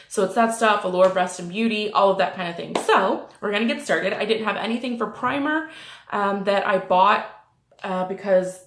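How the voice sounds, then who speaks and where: A woman vlogger with a slight cold, sounding a bit snuffly, chatting casually through a makeup review and rambling with lots of ums and likes. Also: medium pitch. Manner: rambling, many ums and likes, self-correcting. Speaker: beauty vlogger. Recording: roomy echo.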